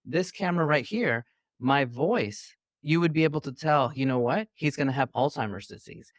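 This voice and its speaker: A man's voice: a little high, lively intonation, normal speed, dry recording. Man, physician guest, teacherly, long-winded, video call, dry close sound. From New York City. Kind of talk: explaining interview answers. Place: indoors.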